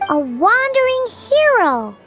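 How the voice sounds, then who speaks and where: A tiny adventurer sidekick from a video game, cheerful and childlike. The voice is extremely high and squeaky and bounces around in pitch, with loud music behind it. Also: female voice, very animated, slow, exaggerated voice. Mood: excited.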